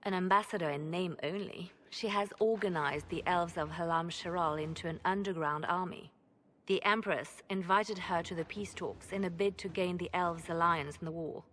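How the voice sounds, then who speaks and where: An actress playing a composed, secretive spymaster in a fantasy game, measured and calculating in manner. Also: female voice, fairly low pitch, steady tone, a bit bright, a little hiss or hum, lightly acted. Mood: grave, measured. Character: composed, secretive female spymaster advising a commander. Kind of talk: dramatic game cutscene dialogue.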